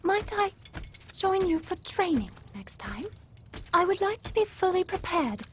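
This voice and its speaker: A woman's voice: very high-pitched, big pitch swings, unhurried, slight background noise, heavily acted. An actress playing a loyal, eager young royal attendant in a video game, polite, earnest and deferential, with music behind her. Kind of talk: video game cutscene acting.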